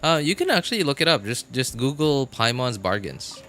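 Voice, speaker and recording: A man live streaming a game and chatting casually, halting and repeating words as he thinks aloud. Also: mid-range voice, very animated, bright, forceful tone. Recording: music playing behind the voice. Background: music.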